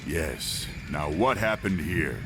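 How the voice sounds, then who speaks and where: An actor playing a gruff, blunt army veteran in a video game cutscene. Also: male voice, very deep voice, expressive, slow, noisy background, heavily acted. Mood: exasperated. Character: gruff, blunt soldier back from war. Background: music.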